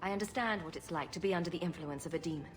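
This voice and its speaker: A woman's voice: lowish voice, racing, close to an everyday voice. A woman playing a sarcastic young rogue heroine in a video game, with a wry, dry delivery. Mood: earnest. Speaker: player character. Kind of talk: video game cutscene acting. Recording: music behind the voice.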